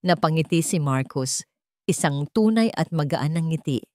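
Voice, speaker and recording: A Filipino woman narrating a scripted romance story in a sentimental, measured way, in short dramatic phrases. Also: deep voice, expressive, darkish tone, no room sound, clean studio sound.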